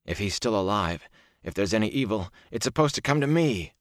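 A man's voice: on the low side, lively intonation, brisk, no room sound, clean studio sound, pitched above the performer's own voice, lightly acted. A man voicing a troubled, stubborn young village man in a fantasy audiobook, earnest and insistent. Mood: urgent, worried.

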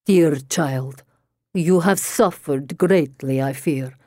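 A woman voicing a theatrical female spirit medium in a storybook, with a deep growl and slow, portentous delivery. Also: warm tone, no echo, clean studio sound. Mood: solemn, sympathetic. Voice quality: deep, growling low register. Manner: solemn, slow, portentous incantation.

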